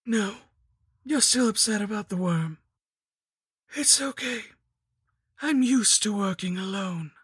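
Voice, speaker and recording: A woman voicing a cruel undead female lich sorceress, rasping and mocking, sliding into breathy whispers. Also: mid-range voice, expressive, slow pace, long pauses, no echo, clean studio sound, pitched above the performer's own voice, exaggerated voice. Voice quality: rasping, cackling.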